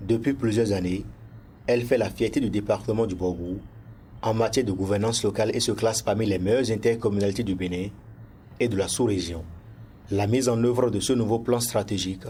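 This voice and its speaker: Low-voiced Beninese man reporting news, noisy background. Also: steady tone, a little fast, warm tone. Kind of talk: scripted news report narration.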